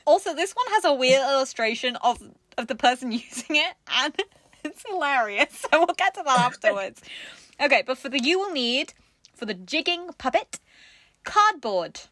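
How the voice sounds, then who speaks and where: A woman with a high voice that moves around a lot, chatting casually as co-host of a craft show. She sounds giggly and amused, and the sound is close and dry. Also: big pitch swings, normal speed, a bit bright, no echo. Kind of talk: casual, jokey chat.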